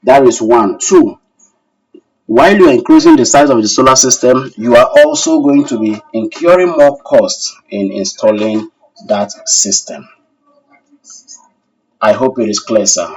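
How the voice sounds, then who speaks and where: A man's voice: medium pitch, measured pace, darkish tone. Distant-sounding man teaching a live class. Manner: courteous, addresses learners formally as sir. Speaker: instructor of an online technical course. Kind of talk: live online class, question and answer.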